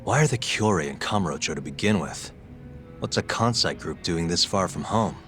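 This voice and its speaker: A man's voice: deep voice, animated, normal speed, over music. An actor playing a cool, wry detective in his thirties with a dry, terse delivery in a video game scene. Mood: probing. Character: cool, wry young male detective in leather jacket. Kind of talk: video game cutscene acting.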